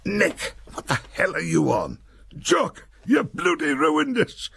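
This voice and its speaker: Older narrator as a blunt, gravelly Cumbrian soldier. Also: male voice, sits high, huge pitch swings, slow, fairly bright tone, no echo, voice pushed up, exaggerated voice. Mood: outraged. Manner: blunt, grumbling dialect talk, swearing. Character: young British soldier Nick, blunt, cocky Cumbrian veteran of jungle war.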